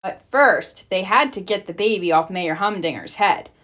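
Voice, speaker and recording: A woman narrating a children's storybook in an animated read-aloud style. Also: mid-range voice, normal speed. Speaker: storybook reader.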